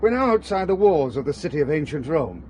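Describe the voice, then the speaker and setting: A man's voice: on the high side, very animated, fairly quick, darkish tone, a little hiss or hum. A man playing a wealthy, urbane manufacturer and racing driver in an audio drama, hearty with old-boy phrasing. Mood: urbane guiding.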